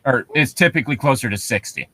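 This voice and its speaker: A man's voice: medium pitch, expressive, quick pace, sharp tone, no room sound. A man co-hosting a podcast in a casual, comedic way, picking things apart with incredulous, profane commentary. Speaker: podcast co-host reading and commenting on a horror story.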